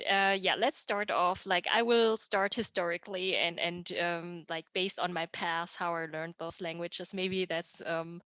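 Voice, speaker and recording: A woman's voice: fairly low pitch, fairly bright tone, no room sound. A young woman from Germany whose first language was Teochew, a podcast guest talking casually and chattily with many fillers and restarts. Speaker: podcast guest, data engineer. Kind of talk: casual interview talk.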